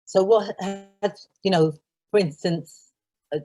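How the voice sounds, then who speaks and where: A woman's voice: mid-range voice, slow pace, warm tone, soft background music. A woman calling in with a question, rephrasing it as she goes. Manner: searching, rephrases her questions. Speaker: caller asking a question.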